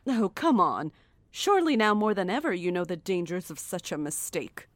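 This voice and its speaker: An actress performs a condescending woman in command of captives in a horror audio drama. Her delivery is formal and composed, with highly varied melody. The sound is dry. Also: big pitch swings, moderate pace, no echo. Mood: condescending, taunting. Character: coolly commanding, mocking woman who holds captives.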